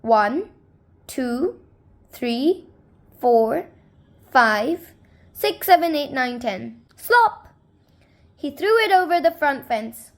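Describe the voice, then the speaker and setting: A woman narrating a children's story expressively, with a dramatic, deliberate delivery. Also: high-pitched, sing-song. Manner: dramatic, deliberate, with emphasised sound words.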